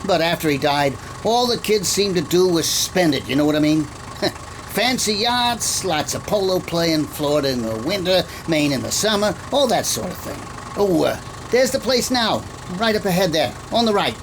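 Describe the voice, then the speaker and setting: A woman's voice: on the low side, big pitch swings, normal speed. A woman playing a chatty, folksy local taxi driver in a radio drama, rambling with hesitant asides. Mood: folksy, gossipy. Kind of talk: old-time radio drama acting. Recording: car engine noise behind.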